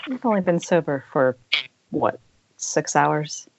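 A woman reads a weary, skeptical wife in a screenplay drama. Her voice is husky and swings in pitch, heard over a muffled, lo-fi call. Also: expressive, takes it slowly, a little mellow, heavily acted. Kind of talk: amateur screenplay table read. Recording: dull lo-fi Skype call.

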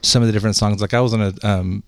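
Man, lowish voice, dry close sound, halting talk full of fillers. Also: fairly even intonation, smooth, dry recording. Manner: halting, lots of fillers and restarts. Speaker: podcast host. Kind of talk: casual interview chat.

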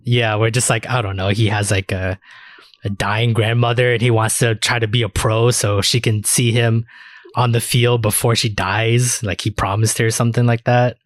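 Guy podcast host w a lowish voice, rambly n slangy, just chatting anime, no room echo. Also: level tone, normal speed. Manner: rambling, slangy, swears casually. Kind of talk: casual anime discussion.

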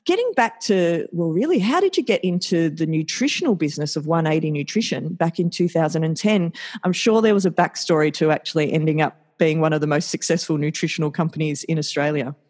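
A woman's voice: low voice, brisk. A woman hosting an interview, introducing her guest enthusiastically and asking questions. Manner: enthusiastic, lively, playful. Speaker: podcast host.

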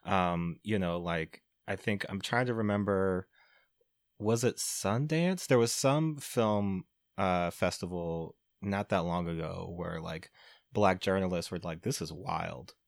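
A man's voice: low voice, takes it slowly, vocal fry, no room sound, studio quality. A man hosting a podcast in casual conversation, laid-back and loose, with lots of fillers. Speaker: podcast host.

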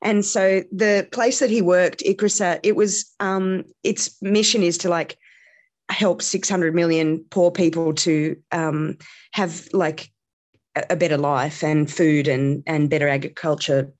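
A woman's voice: fairly low pitch, fairly even intonation, measured pace, darkish tone. A middle-aged woman, a comedian chatting casually as an interview guest, hesitant with many fillers. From Australia.

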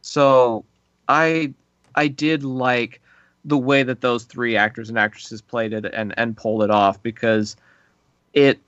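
Animated man hosting a film podcast. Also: medium pitch, takes it slowly, dry recording, dull audio. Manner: animated, mimics reactions, stammers on restarts. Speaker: podcast host. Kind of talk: casual film discussion.

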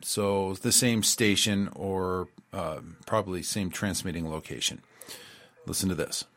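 A man's voice: low-pitched, steady tone, unhurried, a bit bright, slight background noise. Rambling male radio show host. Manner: rambling, many fillers and restarts, reads out quotes. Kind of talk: casual spoken commentary.

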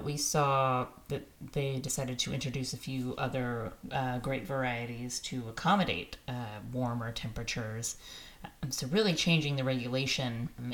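A woman co-hosting a wine show, reading out news items and asking her co-host questions in a lightly teasing way. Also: extremely low pitch. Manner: newsy, inquisitive, lightly teasing. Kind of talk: conversational wine news presenting.

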